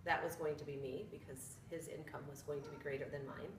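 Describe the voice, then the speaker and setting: A woman's voice: sits low, steady tone, fairly quick. A fifty-year-old woman from Indiana, answering interview questions in a plain, matter-of-fact way. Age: 50s. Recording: echoey room from a distance with noise.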